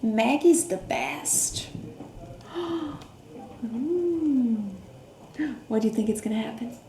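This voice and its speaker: High-voiced woman reading slowly to children. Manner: expressive, with gasps, hums and hushed asides. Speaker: storyteller reading a picture book to children. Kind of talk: interactive children's read-aloud with questions to young listeners. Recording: roomy echo, faint background noise.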